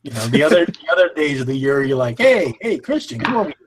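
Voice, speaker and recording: A man's voice: mid-range voice, big pitch swings, fast. A man in his twenties co-hosting a casual podcast, joking and laughing often. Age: 20s. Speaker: podcast co-host. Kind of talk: casual comedic chat.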